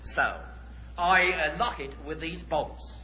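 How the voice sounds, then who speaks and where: An actor playing a suave, grandiose stage magician with florid, formal patter in a 1940s radio drama. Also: male voice, a little high, slow pace. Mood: showy, commanding. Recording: noisy, muffled 1940s radio recording, echoey stage.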